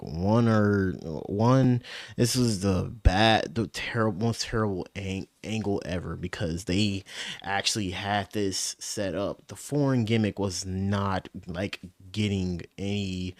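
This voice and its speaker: A man with a fairly low voice reacts casually to a video as its host. His phrasing is halting and slangy, with swearing mixed in, and the sound is dry and close. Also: dry recording. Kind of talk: casual off-the-cuff reaction commentary.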